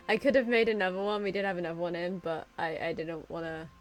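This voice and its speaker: A woman streamer giving chatty, giggly live commentary into a desk mic on a boom arm at home. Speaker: game streamer.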